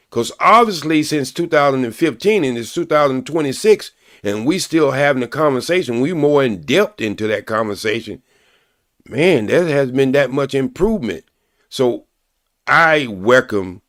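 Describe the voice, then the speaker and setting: A man's voice: medium pitch, dry recording, clean studio sound. A man speaking on a live-stream panel call, earnest and long-winded as he makes his point. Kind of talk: casual live-stream debate.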